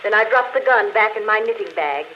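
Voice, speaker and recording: Actress as a cool murderess, old radio sound. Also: female voice, medium pitch, expressive, a little fast, close to an everyday voice. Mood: calm, unrepentant. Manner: intimate, matter-of-fact. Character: murderess confessing on a phonograph record, coolly unrepentant. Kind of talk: 1940s radio acting. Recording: dull, noisy old radio recording.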